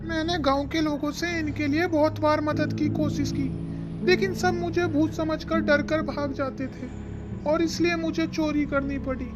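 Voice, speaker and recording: A woman's voice: high-pitched, fairly even intonation, moderate pace, over loud music, natural voice. A woman voicing a kindly, misunderstood young male ghost in a children's cartoon, earnest and apologetic. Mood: regretful. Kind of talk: children's cartoon story acting.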